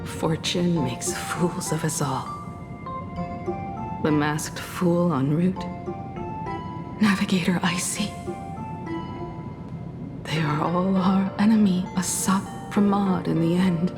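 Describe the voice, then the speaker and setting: An actress playing a composed, perceptive young woman in a video game, speaking in a measured, cool and serious way. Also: on the low side, fairly even intonation, music in the background. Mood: solemn. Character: composed, perceptive young woman confronting her pretend father. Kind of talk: dramatic video game dialogue.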